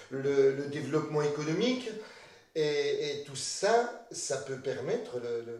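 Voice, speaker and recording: Male local official, interview style, slightly high voice, halting and repetitive, recorded a little far off in strong echo. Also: a little mellow. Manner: hesitant, repeats words and restarts phrases. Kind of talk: interview testimony, spontaneous. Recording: very echoey room, slightly distant mic.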